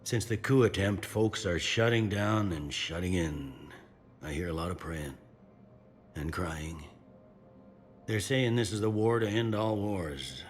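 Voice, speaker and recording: Game role: middle-aged security officer, low pitch, downbeat and matter-of-fact, slight background noise. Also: male voice, unhurried. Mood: weary, sombre. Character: weary, plain-spoken male station security officer. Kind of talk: video game voice acting.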